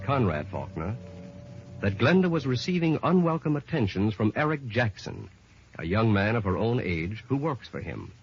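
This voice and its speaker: A man announcing and narrating an old-time radio drama with dramatic, emphatic scripted delivery. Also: on the low side. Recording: dull, muffled old radio recording.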